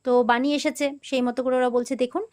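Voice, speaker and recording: A woman's voice: a little high, level tone, fast, darkish tone. A woman hosting an informal, chatty serial recap, retelling scenes and quoting the dialogue as she goes. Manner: chatty, with frequent quoted back-and-forth. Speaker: host of a TV serial recap channel.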